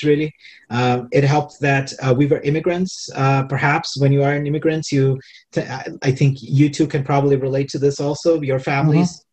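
A man's voice: mid-range voice, darkish tone. A man whose first language is Hindi, a venture investor answering podcast interview questions in a thoughtful way, with many fillers and restarts. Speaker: venture capital investor, guest.

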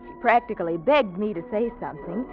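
An actress playing a warm, earnest, gently teasing young American woman in 1940s radio drama style. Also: medium pitch, lively intonation, a little fast, lightly acted. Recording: dull old radio recording, music behind.

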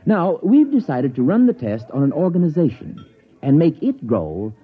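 A man's voice: on the high side, big pitch swings, normal speed, husky. A man playing an earnest, scholarly sociology professor in mid-century radio drama, explaining things with small hesitations. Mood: didactic. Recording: dull vintage radio recording.

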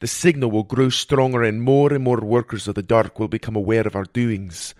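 A man voicing an earnest male mentor and ship healer in an adventure audio story, speaking gravely and emphatically. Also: medium pitch, fairly even intonation, moderate pace, a little mellow, no room sound, studio quality, lightly acted. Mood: grave, apologetic.

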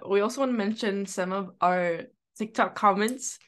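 A young woman from Macau co-hosting a relaxed podcast at an ordinary middle pitch. She prompts questions easily and laughs softly along the way. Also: normal speed. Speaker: podcast co-host. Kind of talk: casual chat.

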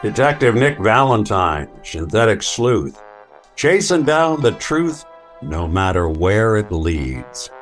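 An actor playing a dramatic announcer for a detective serial, portentous in 1940s radio style. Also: male voice, fairly low pitch, sing-song, slow pace, faint music behind.

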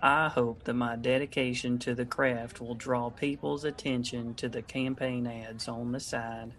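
An actor playing an earnest, self-promoting politician and handyman with a deadpan manner in a radio comedy. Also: medium pitch, a little slow. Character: earnest, flat-toned male politician and handyman.

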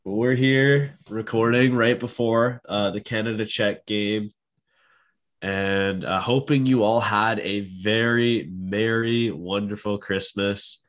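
A man's voice: fairly low pitch, fairly even intonation, slow, highs cut off. A man hosting a podcast in casual chat, his voice congested from being sick, loose and profane. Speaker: podcast host.

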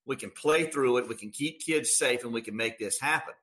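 An American man with a bright, forward voice speaks as a sports announcer in a conversational interview. His pitch sits in the middle range, and he talks in folksy, emphatic phrases. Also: fairly quick. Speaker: radio play-by-play sports announcer.

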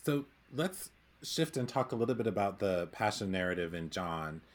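Low-ish guy moderating, asks questions casually, some ums and likes. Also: expressive, moderate pace. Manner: casual questioning, hesitant fillers.

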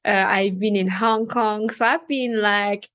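A woman's voice: medium pitch, fairly even intonation, unhurried, fairly bright tone. A woman from Martinique with a French Caribbean accent, speaking English as a second language as a podcast guest, hesitant with many fillers and restarts. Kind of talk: casual interview.

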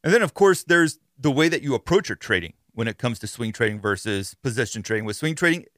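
A man's voice: medium pitch, lively intonation, quick pace, fairly bright tone, dry recording. A man hosting a podcast, explaining things in an informal, conversational monologue on a close mic. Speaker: podcast host.

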